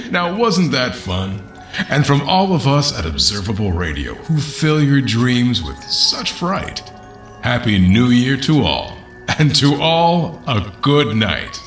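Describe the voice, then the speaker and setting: A man playing a playfully sinister radio host, giving a drawn-out, theatrical audio drama sign-off. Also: medium pitch, sing-song, a bit bright. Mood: gleeful, menacing. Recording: echoey, with faint music behind.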